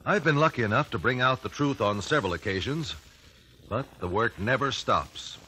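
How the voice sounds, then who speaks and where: An actor playing an earnest, composed American investigator, speaking articulately in old-time radio drama style. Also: male voice, mid-range voice, expressive, normal speed, sharp tone. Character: earnest, measured gentleman investigator, the hero. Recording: noisy vintage radio recording.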